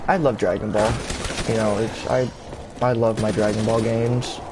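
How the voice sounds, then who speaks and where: A young man casually rambling in a gaming vlog, full of fillers, restarts and casual swearing, his voice jumping higher when he gets excited. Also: normal speed. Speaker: gaming YouTuber. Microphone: console camera mic. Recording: steady background noise.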